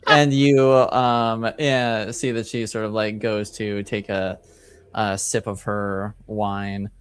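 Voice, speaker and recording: A man narrating a tabletop game as game master, improvising casually and haltingly. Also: fairly low pitch, little pitch movement, slight background noise. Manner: hesitant, halting, pieces descriptions together.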